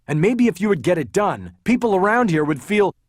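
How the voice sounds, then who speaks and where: A man voicing a defiant, sharp-tongued defense lawyer, indignant and emphatic, in an audiobook crime novel. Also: mid-range voice, sing-song, moderate pace, breathy edge, no room sound, clean studio sound, voice pushed up. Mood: angry.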